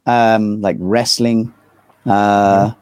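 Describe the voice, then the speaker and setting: Low-voiced southern English man, casual. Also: little pitch movement, extremely slow, a little mellow, clean tone, dry recording. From England. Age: 30s. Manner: informal, rambling, hesitant phrasing. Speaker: interview guest, metal band vocalist. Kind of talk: casual chat.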